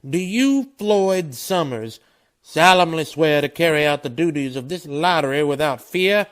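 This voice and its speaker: A man's voice: a little high, lively intonation, unhurried. A man playing a formal village official in a radio play, ceremonial and declamatory. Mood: solemn, officious.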